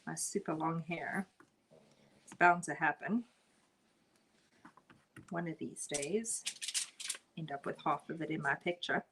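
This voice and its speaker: Slow-talking South African woman painting live. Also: low-pitched, slight background noise, low-quality recording. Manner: chatty, laughs often, murmurs softly while working. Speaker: art instructor. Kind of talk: live painting tutorial chat.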